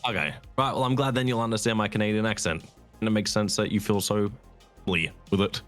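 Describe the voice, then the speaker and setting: A man's voice: lowish voice, steady tone, fast talker, fairly bright tone, soft background music. A man chatting casually with a friend while they play a game, in a dry, teasing manner. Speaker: streaming co-player. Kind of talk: casual chat while gaming.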